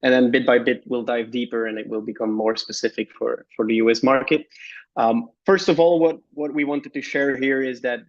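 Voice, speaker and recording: A man, a strategy consultant, introducing himself and starting a presentation on a webinar, relaxed and trailing off at the ends of phrases. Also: medium pitch, moderate pace. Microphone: video call. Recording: dull call audio.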